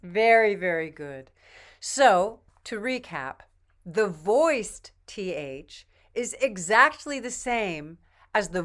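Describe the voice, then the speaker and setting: Female pronunciation coach, very slow and patient, smooth clear voice, slightly low. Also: a bit bright. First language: English. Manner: models each word twice, patient and encouraging. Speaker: pronunciation teacher. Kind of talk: slow, deliberate pronunciation drill.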